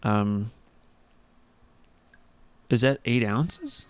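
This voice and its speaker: A man's voice: medium pitch, lively intonation, drawn-out words, no echo. A young man co-hosting a podcast in casual chat, rambling with many restarts and crude jokes, talking into a mic with headphones on. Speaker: podcast co-host.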